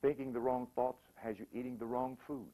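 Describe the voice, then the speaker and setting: A man's voice: medium pitch, little pitch movement, a little fast, noisy background. A man giving an informal, sermon-like motivational talk, repeating phrases emphatically and acting out examples. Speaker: motivational spiritual speaker.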